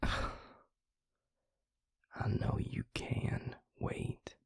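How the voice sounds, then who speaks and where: A man playing a weary, grieving vampire lover, tender and gruff, in an intimate audio roleplay with a husky, gravelly murmur. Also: no echo, in a whisper, studio quality. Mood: weary, brooding. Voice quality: husky, breathy, gravelly low voice. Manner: slow, halting, murmured, with sighs.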